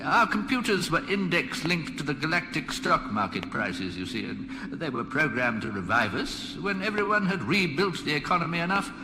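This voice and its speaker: Casting: elderly alien craftsman, highish swooping voice, grandiose yet absent-minded, radio comedy. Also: big pitch swings, normal speed, few pauses. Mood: wistful, chatty. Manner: grandiose, lapsing into vague, chatty asides. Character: dithering, mild-mannered ancient male alien planet builder. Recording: cavernous reverb, noisy background.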